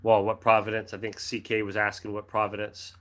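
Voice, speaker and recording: A middle-aged American man hosting a casual livestream, reading out viewer comments in a friendly, rambling way with restarts and throat clearing, his throat dry and a cough drop in his mouth. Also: on the low side, monotone, a little fast, a bit bright. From United States. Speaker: livestream host reading chat. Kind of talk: casual live chat.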